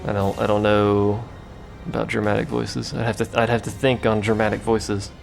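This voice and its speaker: A man's voice: on the low side, little pitch movement, normal speed, vocal fry, soft background music. A man giving casual, rambling gameplay commentary with a joking, self-mocking manner. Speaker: let's play commentator.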